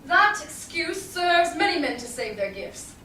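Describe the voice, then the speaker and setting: A woman's voice: very high-pitched, very animated, a little slow, sharp tone, full character voice. A woman playing a composed, clever lawyer in disguise in a Shakespearean stage reading. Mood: teasing, insistent. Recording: distant echoey small theatre, background noise.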